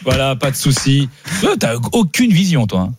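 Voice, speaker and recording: A man's voice: mid-range voice, normal speed, breathy edge, dry recording. A man co-hosting a radio sports talk show, joking and teasing the guests in playful banter. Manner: teasing, jokey, animated. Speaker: radio co-host.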